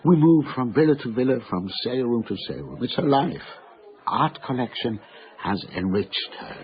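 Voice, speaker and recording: A man's voice: mid-range voice, big pitch swings, moderate pace. Courteous older Frenchman, swooping voice. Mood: confiding, wistful. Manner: courteous, precise, formal phrasing. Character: refined, indignant, confiding older Frenchman in service. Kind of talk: radio drama acting. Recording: slightly dull radio broadcast sound.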